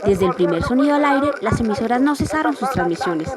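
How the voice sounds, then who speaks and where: A woman's voice: a little high, lively intonation, fairly quick, a loud crowd. A woman reading scripted documentary narration for a radio program. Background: loud music.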